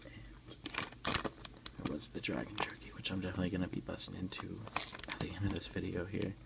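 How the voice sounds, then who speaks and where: A man softly whispering an informal, unhurried ASMR unboxing in a breathy voice. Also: deep voice, flat delivery, very noisy. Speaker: ASMR video creator. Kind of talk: ASMR unboxing, softly narrated show-and-tell.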